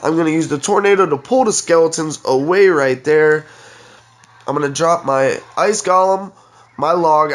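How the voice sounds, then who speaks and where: A congested young man giving chatty play-by-play commentary on his own mobile game match, talking straight to his viewers. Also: fairly high pitch. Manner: chatty, keeps addressing viewers as guys. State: congested, stuffed-up nose. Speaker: gaming channel host.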